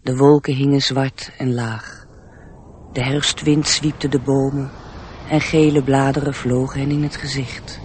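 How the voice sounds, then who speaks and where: An actor playing a measured storybook narrator in a radio drama. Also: male voice, medium pitch, level tone, slight background noise, lightly acted. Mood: grave, storytelling.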